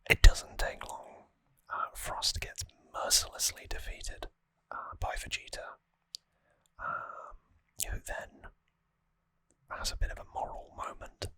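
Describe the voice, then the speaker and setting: A person whispering an ASMR recap in a hushed, breathy, conversational way, close to the microphone. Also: dry recording, poor audio. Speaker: ASMR host. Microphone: close, dry microphone.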